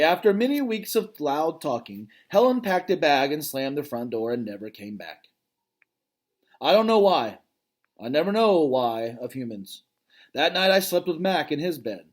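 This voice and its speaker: A man reading a children's novel aloud as its gentle, thoughtful male gorilla narrator, in a calm, reflective way. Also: on the high side.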